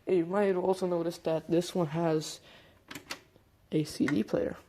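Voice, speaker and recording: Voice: mid-range voice, steady tone, unhurried, background noise. A hobbyist presenter giving a casual hands-on demonstration, explaining things informally step by step.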